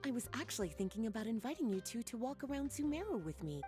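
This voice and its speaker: A woman's voice: a little high, sing-song, fast talker, music in the background. An actress playing a flirtatious, worldly woman librarian in a video game, speaking in a coy, leisurely and teasing manner. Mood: admiring, teasing. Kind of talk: video game dialogue acting.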